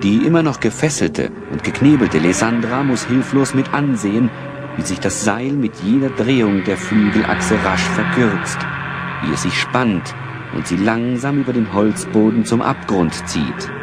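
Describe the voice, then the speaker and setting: Male narrator for a fantasy audio play, lowish voice, dramatic storytelling, clear music bed underneath. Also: lively intonation, moderate pace.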